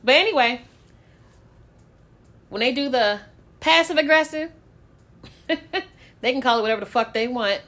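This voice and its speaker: A woman's voice: high voice, animated, unhurried, long pauses, bright, forceful tone. A woman talking candidly in a casual chat, blunt and swearing freely, often breaking into laughter.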